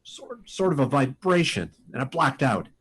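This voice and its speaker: An actor playing a desperate, insistent rocket pilot in an old-time radio science fiction drama, speaking in halting, urgent bursts. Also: male voice, medium pitch, very animated, a bit bright, husky. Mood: shaken, urgent.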